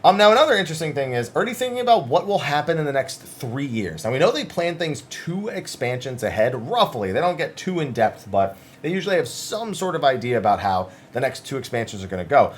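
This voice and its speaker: A man's voice: mid-range voice, sing-song, a little fast, few pauses. A man hosting gaming news commentary, talking casually and emphatically as he sums up interviews in an informal, conversational way.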